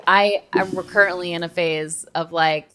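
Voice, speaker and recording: A woman's voice: on the low side, fairly even intonation, moderate pace, a bit bright, clean tone. A young woman co-hosting a podcast, chatting casually in an emphatic, colloquial way and repeating words for stress. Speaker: podcast co-host. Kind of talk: casual chat.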